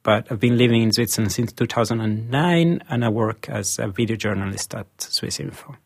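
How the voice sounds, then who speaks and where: A man's voice: lowish voice, brisk, vocal fry, husky. Man recalling a trip. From Italy. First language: Italian. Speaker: guest, video journalist. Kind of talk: casual recollection in interview. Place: studio.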